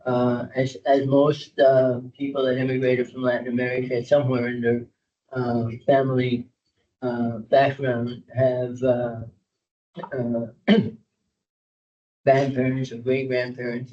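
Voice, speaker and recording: A man born in Cuba chairing a public board meeting, pausing often with filler sounds as he speaks and reads a formal proclamation. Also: warm tone, recorded from a distance. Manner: hesitant, many filler sounds. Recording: dull low-fidelity meeting audio.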